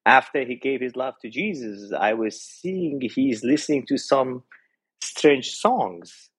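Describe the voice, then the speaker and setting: A man in his forties from Iran with a Persian accent, a pastor telling his personal story earnestly as a podcast guest, pausing often to find his words. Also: medium pitch, animated, slightly rough. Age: 40s. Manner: earnest and reflective, with frequent hesitations. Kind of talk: personal testimony in an interview.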